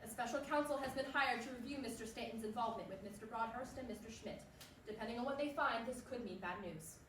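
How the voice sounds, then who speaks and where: A woman in a staged reading playing a brisk, serious TV news anchor delivering a bulletin. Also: on the high side, fairly bright tone, natural voice. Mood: urgent, serious. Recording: roomy stage, distant mic, faint noise.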